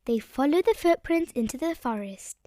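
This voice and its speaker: Lady doing a bubbly little piglet girl from a storybook, high and swoopy, super eager. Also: lively intonation, normal speed, warm tone, dry recording, studio quality. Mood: dramatic. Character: excitable, confident little girl piglet telling stories.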